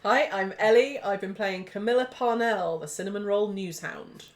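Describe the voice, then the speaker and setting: A woman's voice: medium pitch, normal speed. A woman chatting casually as a player on a role-playing podcast. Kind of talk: casual group chat. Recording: echoey, distant from the mic.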